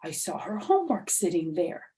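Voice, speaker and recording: A woman's voice: lowish voice, normal speed. Mature woman reading a children's storybook. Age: middle-aged or older. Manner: animated emphasis, chatty asides to young listeners. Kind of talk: expressive children's book read-aloud.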